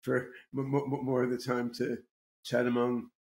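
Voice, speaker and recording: A man hosting a casual podcast chat at a middle pitch. He speaks haltingly, stumbling over repeated words and restarting his sentences as he introduces the talk. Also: completely flat delivery, darkish tone. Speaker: podcast host.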